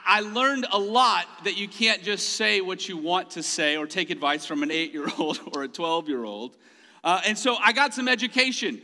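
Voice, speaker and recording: A man's voice: high-pitched, pushed and bright. A man preaching a sermon, telling funny self-deprecating stories and laughing often as he talks. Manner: humorous self-deprecating storytelling; laughs often mid-sentence. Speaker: pastor. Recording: long hall reverb.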